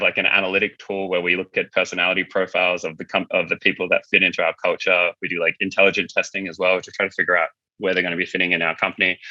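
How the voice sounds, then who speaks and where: A low-pitched man speaks in a forceful, very bright voice. He chats informally as an interview guest, on a dry but slightly dull recording. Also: no echo. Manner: informal, conversational, frequent "like" and "you know". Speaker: company founder being interviewed. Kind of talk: casual interview talk.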